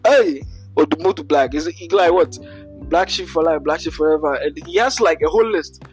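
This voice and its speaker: A man's voice: fairly high pitch, brisk, soft background music. A young man hosting a podcast in an easygoing way, using local slang. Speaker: podcast host. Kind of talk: casual chat.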